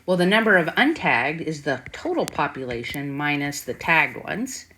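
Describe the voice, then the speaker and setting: Low-pitched woman teaching math informally. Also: sing-song, moderate pace, pushed and bright, low-quality recording. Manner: thinking aloud, frequent check-in questions. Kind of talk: informal whiteboard math tutorial. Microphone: screen-recording voice-over. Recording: faint background noise with clicks.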